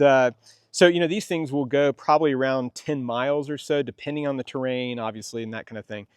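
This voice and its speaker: A middle-aged man gives an unscripted product demonstration as a vlog host. His delivery is casual and meandering, with halting phrases that he often repeats as he goes. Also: mid-range voice.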